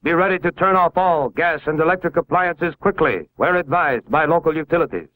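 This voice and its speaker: A man's voice: fairly high pitch, brisk, fairly bright tone, low-quality recording. An American man narrating a scripted 1950s civil defense film in a formal, authoritative, instructional manner, on a vintage film soundtrack. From United States.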